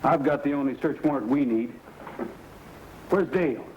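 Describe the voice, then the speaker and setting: A man's voice: mid-range voice, normal speed, lightly acted. Terse Western hero, dull noisy recording, male actor. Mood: demanding. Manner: terse, direct. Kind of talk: mid-century Western drama acting.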